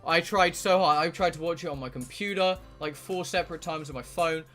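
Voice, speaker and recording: A man's voice: fairly high pitch, a little fast, fairly bright tone, faint music behind. A man livestreaming a visual novel, chatting casually and reading dialogue aloud in a rambling way with casual swearing. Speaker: streamer playing a visual novel.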